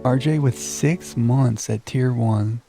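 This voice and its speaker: A man's voice: medium pitch, slow pace, vocal fry, music in the background. A man hosting a game livestream, chatting casually and self-deprecatingly with viewers and reading on-screen text aloud into a close microphone.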